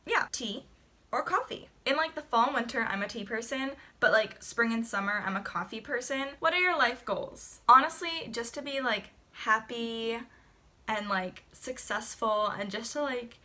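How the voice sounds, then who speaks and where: A young woman rambles through a casual get-to-know-me vlog, leaning on lots of fillers. Her voice sits a little high and sounds bright and clear. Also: normal speed. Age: young adult. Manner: chatty, filler-heavy, rambling. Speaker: vlogger.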